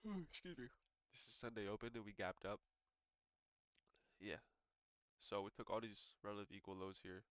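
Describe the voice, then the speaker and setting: A man's voice: lowish voice, normal speed, long pauses, a bit bright, no room sound. A man with a congested, stuffy voice casually walking through trading charts, talking loosely with lots of filler words. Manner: loose and conversational, frequent "um" and "so". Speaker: day trader reviewing charts. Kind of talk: casual screen-share market walkthrough.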